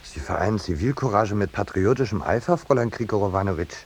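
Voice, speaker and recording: An actor playing a peace-loving landscape painter, courtly and dryly ironic, in a 1950s radio play. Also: male voice, lowish voice, lively intonation, fast. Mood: urbane irony. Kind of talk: 1950s German radio play acting with narration. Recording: noisy 1950s radio drama recording.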